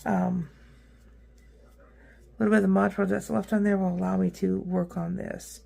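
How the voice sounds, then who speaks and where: A woman with a rough, hoarse voice hosting a casual craft how-to, chatty and upbeat with frequent ums and uhs as she works at her craft table. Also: medium pitch, flat delivery, normal speed, dark tone. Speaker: craft tutorial host.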